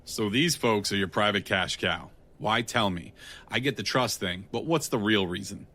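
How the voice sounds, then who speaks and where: Low, wry tough guy. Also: normal speed, sharp tone. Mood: wry, probing. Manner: dry, sardonic, blunt. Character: wry, unafraid, plain-spoken male tough guy with a kind streak. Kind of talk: audio drama acting.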